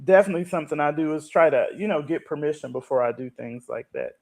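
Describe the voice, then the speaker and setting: A woman's voice: deep voice, fast talker, darkish tone. A woman podcast host chatting casually in an earnest, explanatory way. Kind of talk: casual chat.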